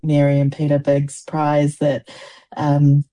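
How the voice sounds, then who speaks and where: A woman's voice: sits low, completely flat delivery, slow, dark tone. A woman in her forties, born in Samoa with Samoan as her first language, answering interview questions thoughtfully with many restarts. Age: 40s. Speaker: poet, guest.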